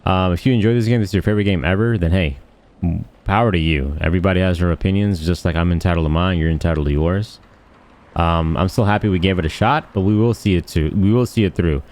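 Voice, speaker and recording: A man's voice: sits low, quick pace, a little mellow. A man giving casual, unscripted gaming commentary in a blunt, rambling, slangy and crude way. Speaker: gaming video host.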